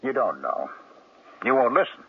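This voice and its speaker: An actor playing a guilty, defensive novelist husband in a radio drama, speaking in a strained, halting, apologetic way. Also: male voice, medium pitch, big pitch swings, gravelly. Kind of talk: 1970s radio drama acting. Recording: tinny, narrow old radio broadcast with faint hiss.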